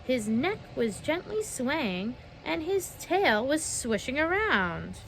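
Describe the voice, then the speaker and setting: A young adult woman reading a children's picture book aloud, expressive and pausing to ask the listener questions. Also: high voice, vocal fry, a little hiss or hum, poor audio. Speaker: children's story reader.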